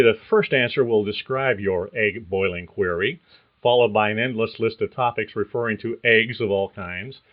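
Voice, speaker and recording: A man hosting a career podcast, reading a script in a measured, explanatory way. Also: medium pitch, dull audio. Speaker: podcast host. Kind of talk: scripted narration.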